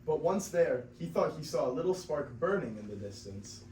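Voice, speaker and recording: A man's voice: fairly high pitch, expressive, normal speed, darkish tone. A teenage boy narrating a scripted story as part of a classroom reader's theater. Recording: echoey classroom, distant mic, faint noise.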